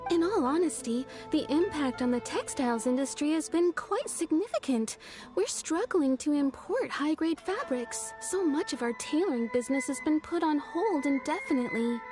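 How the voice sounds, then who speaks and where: A deferential, formal shopkeeper in a video game. Her voice is high and moves in lively swoops, always courteous. Music sits behind it. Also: normal speed. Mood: polite, regretful.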